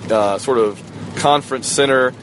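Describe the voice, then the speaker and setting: Man, slightly hoarse, middle pitch, casual rambling monologue, podcast host, phone recording, clear road and rain noise in a car. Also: moderate pace. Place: inside a moving car. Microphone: smartphone on the dashboard.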